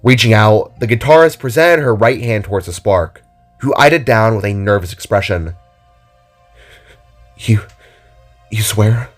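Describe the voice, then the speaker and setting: A man's voice: on the low side, moderate pace, soft background music. A man reading scripted, dramatic narration for an audio drama story.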